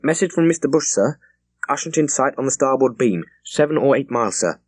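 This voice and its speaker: A male audiobook narrator acts a young naval messenger, dutiful and formal. The voice jumps noticeably higher as he calls out reports, in a dry, close recording. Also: animated, fairly quick, no echo, lightly acted. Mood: brisk, alert. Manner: formal, raised reporting call. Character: dutiful junior male naval signal officer, eager messenger. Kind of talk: dramatized audiobook character dialogue.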